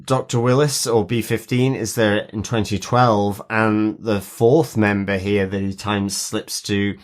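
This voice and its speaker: Man, lowish voice, podcast co-host, casual recap chat, halting and measured explanations. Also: steady tone.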